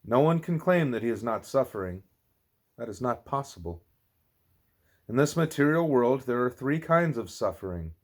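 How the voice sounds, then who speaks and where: A man's voice: mid-range voice, very animated, moderate pace. A man reading a spiritual teaching aloud like an audiobook, occasionally stumbling and repeating words. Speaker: audiobook reader.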